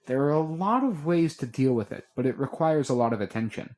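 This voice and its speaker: A guarded, weary young man, read by a man in an audiobook, laying out dangers in a slow, measured way. Patient but worn down, he talks like someone carrying too much. Plain everyday voice. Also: medium pitch, animated, darkish tone, pitched above the performer's own voice, close to an everyday voice. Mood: earnest.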